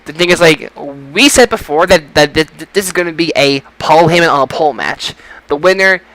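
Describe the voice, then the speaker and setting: A man's voice: on the high side, brisk, fairly bright tone, poor audio. A man hosting a casual podcast results show, talking in an announcer-like way and repeating things for effect. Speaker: podcast host.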